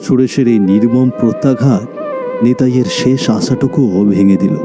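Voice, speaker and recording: A man's voice: mid-range voice, normal speed, music in the background. A man narrating a scripted cartoon story in a dramatic storytelling style.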